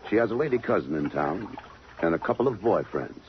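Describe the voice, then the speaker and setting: A tough police lieutenant with a low voice, firing off blunt, dry questions in slangy style. It is old radio drama, muffled and hissy. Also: male voice, big pitch swings, fairly quick. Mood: matter-of-fact. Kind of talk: 1950s radio drama acting.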